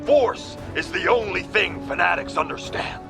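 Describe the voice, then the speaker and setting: A man playing a grim, proud, melodramatic alien soldier in an action film within a video game, with a gravelly voice. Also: sits high, normal speed, music in the background. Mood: commanding.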